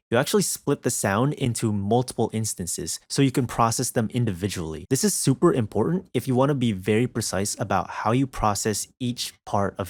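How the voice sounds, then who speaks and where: A man's voice: lowish voice, a little mellow, no echo. A man presenting a music production tutorial in a casual, explanatory narration style.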